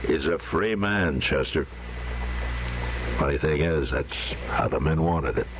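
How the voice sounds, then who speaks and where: Stern frontier lawman, American, terse and commanding, animated pitch, noisy vintage radio recording. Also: unhurried, full character voice. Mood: resigned, dry. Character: stern, steadfast male frontier US marshal. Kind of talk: 1950s Western radio drama acting.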